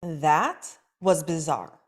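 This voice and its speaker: A woman's voice: deep voice, very slow, exaggerated voice. An actress at a table read playing an awkward, hesitant young woman who writes scripts.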